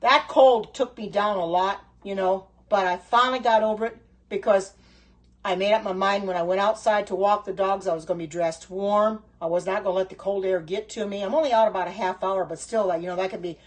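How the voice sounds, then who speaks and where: A woman's voice: medium pitch, steady tone, brisk, rough recording. An older woman chatting casually on a live stream while baking, greeting viewers by name and wandering from topic to topic with homespun sayings. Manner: frequent ums and restarts, homespun sayings. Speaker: home cooking livestreamer.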